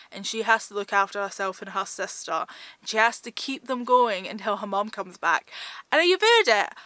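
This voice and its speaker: A woman's voice: mid-range voice, sharp tone, no echo. A woman chats casually about books to her viewers, informal and self-deprecating in manner. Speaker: book vlogger. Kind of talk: casual book chat to viewers.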